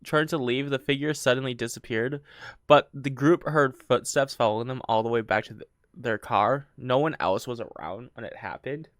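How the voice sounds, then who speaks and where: A man's voice: mid-range voice, moderate pace, no echo, studio quality. A man co-hosting a casual podcast, reading out an account, stumbling over words and laughing often. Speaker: podcast co-host. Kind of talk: casual chat, reading a story.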